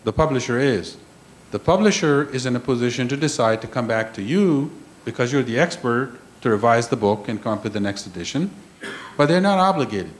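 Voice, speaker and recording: A man's voice: mid-range voice, lively intonation, brisk. A man giving an emphatic conference lecture, repeating key points and checking in with the audience. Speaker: conference panel speaker. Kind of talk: lecture on intellectual property. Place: conference room.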